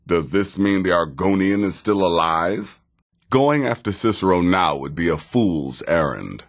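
A man playing a wry, sardonic middle-aged assassin in a fantasy video game, speaking in a dry, measured, sarcastic way. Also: rumbling low, heavily acted. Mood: dry, mocking. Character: wry, sardonic veteran male assassin handing out contracts.

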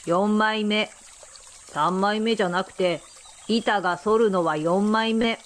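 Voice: mid-range voice, fairly even intonation, takes it slowly, a bit bright. A performer voicing a stubborn young boy in a radio drama, curt, matter-of-fact and insistent. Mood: flatly insistent.

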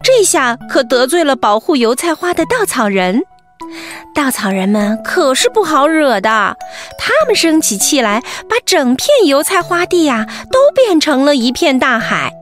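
A woman storyteller narrating an expressive bedtime story for children, animated and speaking to them directly. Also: high-pitched, unhurried, soft background music.

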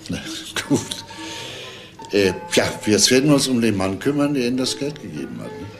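An actor playing a patient, probing older police detective in a radio crime drama, calm and deliberate. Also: male voice, medium pitch, soft background music. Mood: businesslike.

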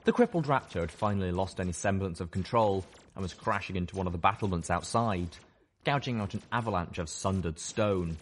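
A man with a very deep voice narrates an audiobook. His scripted delivery is dramatic, and there is faint noise behind him.

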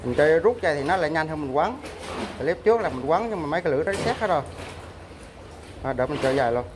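A man in his thirties from Vietnam talks quickly and casually to his viewers as he fishes from a small boat beside a pier. He holds the camera at arm's length out on the open water. The outdoor audio is noisy, with wind and water behind his voice. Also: a little high, animated. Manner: chatty, keeps addressing viewers as family. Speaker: fishing vlogger. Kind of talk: casual vlog narration. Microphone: action camera held at arm's length. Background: wind, water and boat noise.

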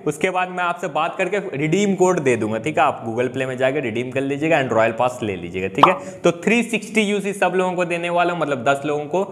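A man's voice: on the high side, lively intonation, fairly quick, hardly any pauses, long reverb tail. A young man casually talking viewers through a game update and a giveaway draw, chatty and friendly, calling them brother. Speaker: gaming YouTuber. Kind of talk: casual screen-recorded giveaway commentary.

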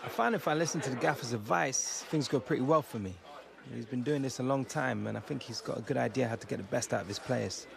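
A man with a British accent playing a modest, polite young footballer giving a measured post-match interview in a game. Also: mid-range voice, crowd noise. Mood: relaxed. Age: young adult. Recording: clear background ambience noise.

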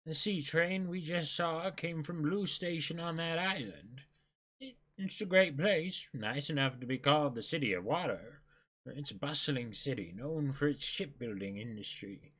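A man voicing a friendly old woman, a station master, halting and informative in a manga reading. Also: a little high, a bit bright, breathy edge. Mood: proud, informative.

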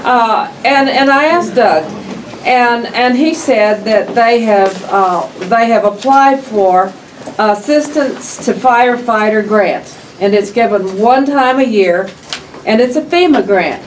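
Older lady at a county board table, loud and blunt, kinda far off the mic, faint hiss. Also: fairly high pitch, slow. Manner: loud, plainspoken, reads figures aloud. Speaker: justice of the peace. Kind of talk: public meeting remarks. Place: county meeting room, around a long table. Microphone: table microphone, camera across the room. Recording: distant mic, faint room noise.

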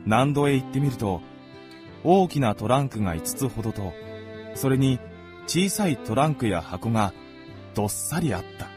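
Man acting an expressive gentlemanly storyteller. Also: medium pitch, normal speed, music in the background, lightly acted. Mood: reflective. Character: gentlemanly male visitor narrating his recollections. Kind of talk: scripted literary narration in radio drama.

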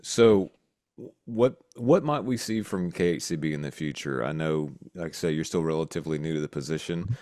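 A man in his fifties with a low voice puts casual questions to a guest as an interviewer. He is recorded close and dry on a podcast mic. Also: a little fast, darkish tone, no echo. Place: small home office, indoors. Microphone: close boom-arm podcast mic.

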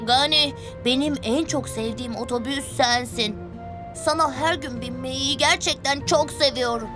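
A woman's voice: high voice, normal speed, close to an everyday voice. A woman voicing a sweet, concerned young boy in a children's cartoon, earnest and childlike. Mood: caring. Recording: music behind the voice.

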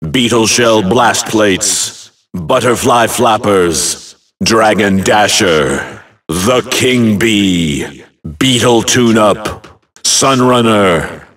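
Video game announcer: lowish voice, very slow and emphatic, booming showman, slightly off-mic. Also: fairly bright tone, far from the mic, heavily acted. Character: booming, dramatic male arena announcer. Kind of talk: dramatic video game announcer calling out names.

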